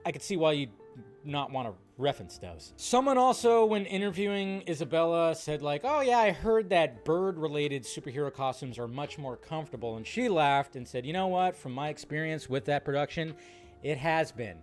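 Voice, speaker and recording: A man's voice: on the high side, lively intonation, normal speed. Man, emphatic movie commentary over music. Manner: emphatic, rambling, slangy. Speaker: host of a film news channel. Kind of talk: casual opinionated fan commentary. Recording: music behind the voice.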